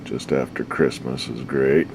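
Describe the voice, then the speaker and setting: A middle-aged man, slightly hoarse, chatting haltingly with many fillers while he fixes an old radio. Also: very deep voice, steady tone. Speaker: radio repair hobbyist. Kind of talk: casual workbench chat. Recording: noisy workshop recording.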